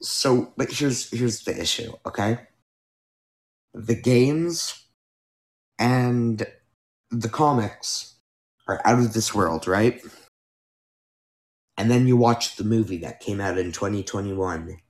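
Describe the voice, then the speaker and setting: Slow-talking young man, losing his voice. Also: lowish voice. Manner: rambling, self-correcting, with filler words. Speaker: podcast host. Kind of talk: casual solo podcast chat. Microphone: new microphone being tested.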